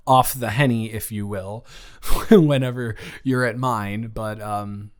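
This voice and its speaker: A man's voice: on the low side, steady tone, slow pace. A man playing a playful, teasing young best friend in an improvised roleplay audio, speaking casually with false starts and repeats. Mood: hesitant, subdued. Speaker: audio roleplay creator and performer.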